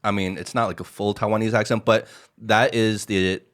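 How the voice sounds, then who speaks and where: A podcast host from Hong Kong talks casually and at length, his voice on the low side. He strings thoughts together with many fillers and "like"s. He laughs often as he goes. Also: steady tone, moderate pace, a bit bright. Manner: rambling, lots of "like", laughs often. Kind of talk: casual conversation.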